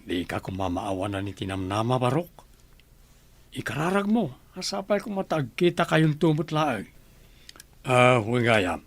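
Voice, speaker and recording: A man's voice: mid-range voice, big pitch swings, normal speed. A man playing a kindly, joking middle-aged village uncle in an Ilocano radio drama, speaking in a folksy, conversational way. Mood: wistful, confiding. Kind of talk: Filipino radio comedy-drama acting.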